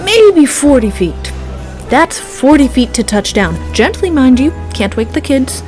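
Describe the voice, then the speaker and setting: A woman's voice: high voice, sing-song, a little slow, over music. A woman playing a jaded, tipsy reindeer in a comedic audio play, world-weary and dryly pedantic as she gripes. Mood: weary griping. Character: jaded, tipsy, grumbling female-voiced reindeer drinking at a bar.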